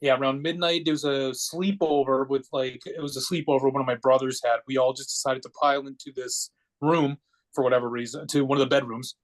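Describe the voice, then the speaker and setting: A man's voice: mid-range voice, level tone, fairly quick, poor audio. A man of forty from Connecticut with an American accent, telling personal stories casually as a podcast guest in a rambling, matter-of-fact way. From Connecticut, United States.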